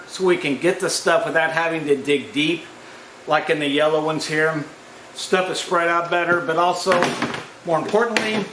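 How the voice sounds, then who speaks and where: A man who runs live sound, giving a plain, practical walkthrough in a casual vlog, pausing often with "uh". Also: a little high, reverberant, background noise. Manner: plain, practical, frequent "uh" pauses. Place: outdoors at a brewery gig.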